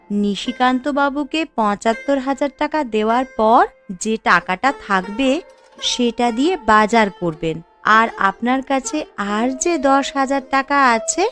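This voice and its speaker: Cartoon casting: actress as bossy yet caring young daughter-in-law, slightly high voice, slow deliberate delivery, music underneath. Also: female voice, animated, fairly bright tone. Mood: firm, instructing. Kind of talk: Bengali cartoon family drama dialogue.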